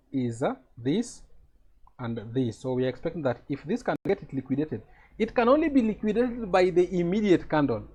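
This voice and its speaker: A man's voice: on the high side, a little mellow, breathy edge, low-quality recording. A man with a Kenyan accent teaching a live trading lesson over a video call, explaining step by step and checking that his students follow.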